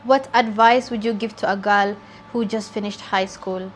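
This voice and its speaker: A woman's voice: medium pitch, fairly even intonation, moderate pace, slight background noise. Chatty young woman vlogging. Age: 20s. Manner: chatty and candid, laughs easily. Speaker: journalist and YouTuber. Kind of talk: casual get-to-know-me Q&A vlog.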